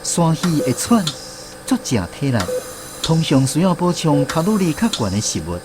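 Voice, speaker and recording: A man with a Taiwanese accent narrating a scripted radio drama as a grown son, reflective and expressive. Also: a little high, moderate pace, noisy background. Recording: music behind the voice.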